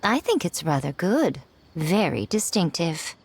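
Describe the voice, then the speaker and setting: A woman's voice: on the low side, big pitch swings, measured pace, husky, exaggerated voice. A woman playing a sly, elegant, teasing editor in a video game, playful and self-assured. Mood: poised, teasing. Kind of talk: video game character acting.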